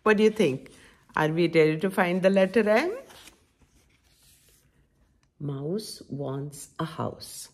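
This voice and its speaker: A woman's voice: lowish voice, takes it slowly, pauses often. A middle-aged woman hosting a children's story time, reading a picture book aloud with coaxing, sing-song commentary and questions. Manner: animated, sing-song, coaxing teacher-like delivery. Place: small indoor room at home.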